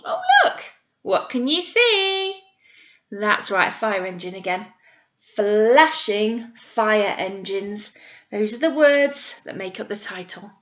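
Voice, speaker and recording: A woman's voice: medium pitch, sing-song, slow, fairly bright tone, highs cut off. A British woman teacher reading a picture book to young children, with sing-song emphasis and frequent encouraging questions. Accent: British English. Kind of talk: interactive read-aloud lesson for young children.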